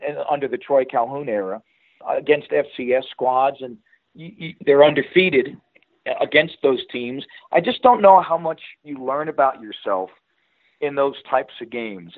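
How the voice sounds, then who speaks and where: Male sports interview guest, medium pitch, conversational, on a narrow phone line. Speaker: radio play-by-play announcer, interview guest. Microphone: phone call.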